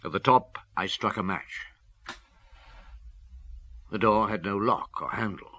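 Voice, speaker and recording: Brooding middle aged detective telling his case on old radio drama, really low voice, crisp edge. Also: measured pace, sharp tone, a little hiss or hum. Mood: tense, suspenseful. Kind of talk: radio drama first-person narration.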